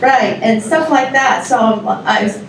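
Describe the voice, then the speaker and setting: A woman's voice: fairly high pitch, noisy background. Giggly woman in an echoey hall. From Taiwan. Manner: chatty, giggly, halting with many fillers. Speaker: music club organizer presenting a show. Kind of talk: informal welcome speech to an audience. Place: large indoor hall with live audience. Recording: very echoey hall, mic far off.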